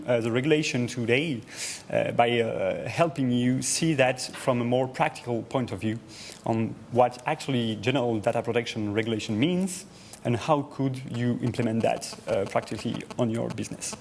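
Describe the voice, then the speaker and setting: French-accented man giving a halting presentation. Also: mid-range voice, gravelly, a little mellow, a little hiss or hum. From France. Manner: hesitant, frequent "uh" fillers and restarts. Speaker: speaker presenting to an audience. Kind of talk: presentation talk.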